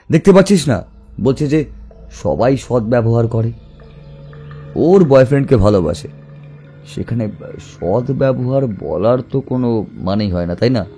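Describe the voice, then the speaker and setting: Actor as a mellow, thoughtful male detective. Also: medium pitch, natural voice. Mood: pondering. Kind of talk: audio story dialogue acting.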